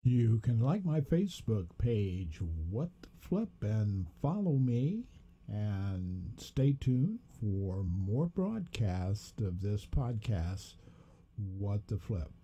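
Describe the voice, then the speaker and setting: A man in his seventies giving a slow, drawn-out podcast sign-off in announcer style. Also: lowish voice, big pitch swings, dark tone, slightly rough. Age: 70s. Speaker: podcast host. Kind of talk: recorded show outro.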